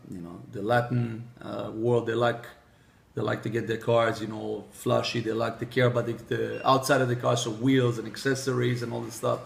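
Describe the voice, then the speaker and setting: Hesitant Israeli-born man with a gravelly voice. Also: mid-range voice. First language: Hebrew. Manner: hesitant, many restarts and fillers. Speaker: car sales manager. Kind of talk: interview answers.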